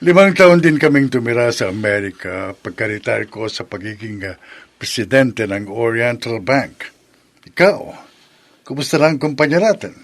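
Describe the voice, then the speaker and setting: A man's voice: mid-range voice, animated, normal speed. A Filipino man acting a proud, assured retired bank president in his sixties, boasting about his son in a scripted drama.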